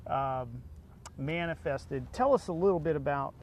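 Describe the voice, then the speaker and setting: Middle-aged male interviewer, slightly high pitch, conversational and halting, noisy recording. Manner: rambling, halting questions. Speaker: interviewer, faculty member and former football coach. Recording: clear background noise.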